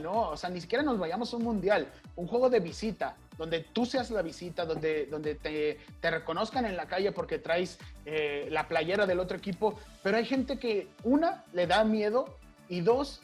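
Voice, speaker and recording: A man's voice: sits high, darkish tone. Man hosting, chatty questions. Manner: conversational, explains at length before asking. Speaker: host, interviewer. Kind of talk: casual interview questions.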